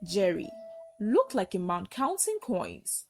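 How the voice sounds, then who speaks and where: Highly animated woman telling a folktale. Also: takes it slowly, soft background music. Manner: earnest, expressive storytelling. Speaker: storyteller narrator. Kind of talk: dramatic folktale narration.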